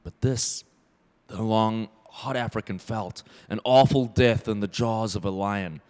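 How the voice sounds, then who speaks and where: A man's voice: fairly low pitch, unhurried, darkish tone, lightly acted. A man acting as the brooding narrator of an ominous radio play, in dramatic fragmentary phrases.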